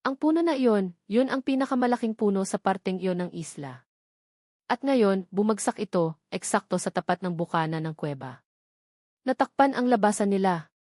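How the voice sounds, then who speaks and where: A woman reads a romance audiobook in a dramatic storytelling style. Her voice sits at a medium pitch. The sound is close and dry, with no room around it. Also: animated, normal speed, darkish tone, no room sound. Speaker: audiobook narrator.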